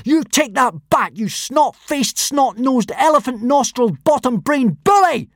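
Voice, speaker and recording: An indignant young Viking lad from a storybook, acted out by a man. He is earnest and plucky, protesting in a high voice, captured dry. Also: big pitch swings, measured pace, no room sound, heavily acted. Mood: furious. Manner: indignant outbursts.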